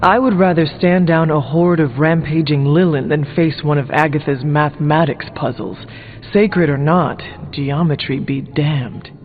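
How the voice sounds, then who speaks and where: An actor playing a solemn, stoic demon-born warrior hero in a video game, with formal, old-fashioned phrasing. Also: male voice, on the high side, unhurried, narrow, tinny audio, lightly acted. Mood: dry. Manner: formal, archaic phrasing without contractions. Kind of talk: video game dialogue acting. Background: background music.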